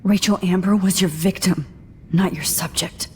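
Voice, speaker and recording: A woman's voice: fairly low pitch, steady tone, moderate pace, darkish tone, long reverb tail, a little hiss or hum, lightly acted. A woman voicing a young female photography student in a video game, earnest and defiant.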